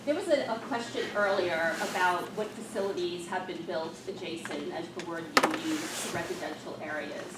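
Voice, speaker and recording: A woman's voice: fairly low pitch, moderate pace, few pauses. A woman speaking as a resident at public comment, asking pointed, direct questions. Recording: echoey room, far from mic, noisy.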